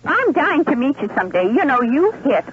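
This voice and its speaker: A woman's voice: high voice, big pitch swings, brisk. A woman phoning in to a radio show, chatting effusively and casually. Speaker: phone-in caller. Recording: hissy telephone line on radio.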